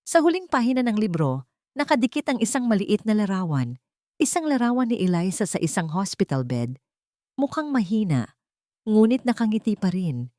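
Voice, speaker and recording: A woman's voice: mid-range voice, very animated, moderate pace, warm tone, no echo, clean studio sound. A woman reading a scripted dramatic story in the first person, as an audiobook narrator.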